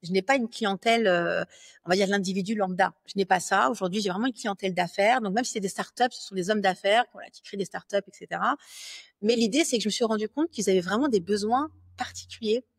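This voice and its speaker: A confident French woman in her thirties speaks as an interview guest, explaining her work at a steady medium pitch. She talks fluently but often pauses on fillers and restarts her phrases. The sound is close and dry. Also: dry recording. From France. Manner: fluent, self-assured, many fillers and self-corrections. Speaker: business lawyer guest. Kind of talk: interview conversation.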